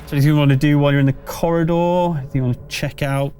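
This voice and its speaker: A man's voice: on the high side, fairly even intonation, racing, mellow, soft background music. A man acting as game master, narrating a tabletop game in a conversational, slightly hesitant way.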